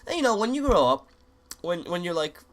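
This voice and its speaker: A man's voice: high voice, sing-song, fairly quick. A young man who is a little sick, rambling in crude, joking gaming commentary with many restarts. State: sick, voice sounds off. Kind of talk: casual rambling commentary over gameplay.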